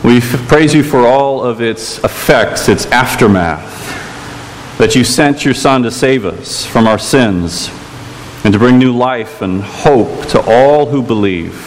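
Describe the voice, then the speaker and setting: A man from Chicago with a Chicago accent, preaching a sermon as a guest preacher, telling stories gently and earnestly with some "uh"s. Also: medium pitch, slow, long reverb tail, a little hiss or hum, low-quality recording. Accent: American, Chicago. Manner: storytelling, gentle and earnest, with filler "uh"s. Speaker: guest preacher, theology lecturer. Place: church.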